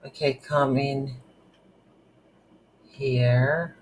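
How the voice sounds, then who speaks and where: A tutorial instructor thinking aloud in a halting, unscripted way while demonstrating game development on a computer. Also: medium pitch, little pitch movement, low-quality recording. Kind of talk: unscripted screen-recorded software tutorial. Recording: background hiss, keyboard typing noise.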